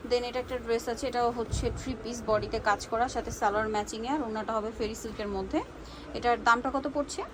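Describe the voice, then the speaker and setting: A woman with a Bangladeshi accent presenting products to viewers in a brisk sales showcase, repeating and checking details. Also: a little high, fairly even intonation, noisy background. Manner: brisk, repeats prices and asks for confirmation.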